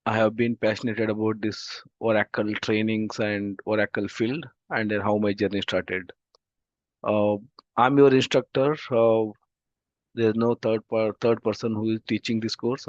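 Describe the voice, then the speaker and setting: A man with an Indian English accent teaching an online database class, talking slowly and haltingly with lots of fillers because of a throat infection, over a video call. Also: mid-range voice, no room sound. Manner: slow, halting, many "uh" fillers. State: throat infection, voice not clear. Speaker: database course instructor. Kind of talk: online demo class lecture. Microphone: computer mic on a video call. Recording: dull, dry video-call audio.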